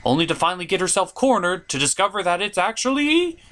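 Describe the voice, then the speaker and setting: A man's voice: high-pitched, very animated, fast talker, a bit bright. A man hosting a cartoon review show with theatrical, sardonic comedic commentary.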